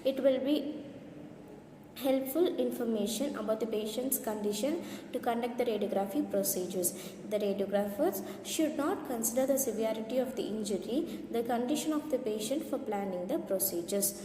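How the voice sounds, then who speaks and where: A woman's voice: fairly high pitch, dark tone. A woman lecturer in radiography, reading a lecture carefully from notes with small slips. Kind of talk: read-out lecture. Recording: echoey room with long reverb, faint noise.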